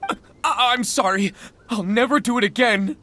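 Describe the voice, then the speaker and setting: A man's voice: extremely high pitch, moderate pace, pushed and bright, slight background noise. A man playing a frightened, contrite young villager in a video game. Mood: shaken.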